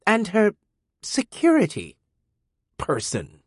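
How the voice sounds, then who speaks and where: A sulky teenage girl, voiced by a male audiobook reader who talks back with scorn. The voice sits high and swings widely. The recording is dry and close. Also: huge pitch swings, measured pace, darkish tone, husky, no room sound, heavily acted. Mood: furious.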